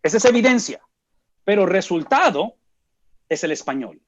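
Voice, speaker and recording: A man's voice: high-pitched, very animated, normal speed. A Mexican man in his forties leads a Bible study. He speaks in a slightly raised register and presses each point home by asking himself questions, then answering them. The recording sounds dull and lo-fi. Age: 40s. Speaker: Bible teacher. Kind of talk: Bible study teaching to a group.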